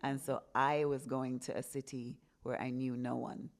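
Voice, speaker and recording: A woman in her early fifties speaks in an unusually deep, low register. Her manner is candid and reflective as she recounts her own past in a relaxed podcast conversation. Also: normal speed. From England. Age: 50s. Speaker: podcast host being interviewed.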